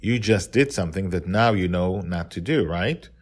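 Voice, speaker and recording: A man's voice: sits low, animated, moderate pace, slightly rough. A man playing an earnest father in a storybook tale, stern but loving toward his young daughter. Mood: tender, apologetic. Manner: earnest, parental.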